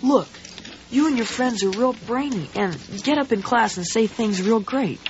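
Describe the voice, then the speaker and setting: A woman's voice: fairly high pitch, normal speed, slight background noise, lightly acted. A woman voicing an awkward, self-conscious schoolboy who stammers, in a radio drama. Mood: earnest. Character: nervous, awkward popular schoolboy. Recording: slightly dull radio drama recording.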